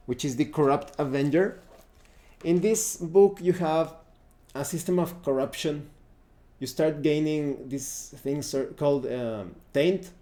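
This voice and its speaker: A man with a mellow, relaxed voice talks casually through a roleplaying game review. His delivery is unscripted and halting, as he thinks aloud while browsing. His pitch sits in the middle range for a man.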